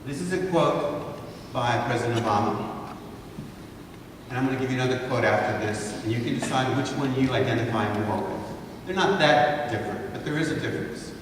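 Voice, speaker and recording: A man's voice: mid-range voice, brisk. Fifties man from Honduras, guest speaker, rhetorical lecture style, caught from far off in a big echoing hall. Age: 50s. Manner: rhetorical, asks the audience to think. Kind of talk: lecture to students. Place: large wood-paneled hall, indoors. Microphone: far camera, no mic seen. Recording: echoey hall from far off, noisy.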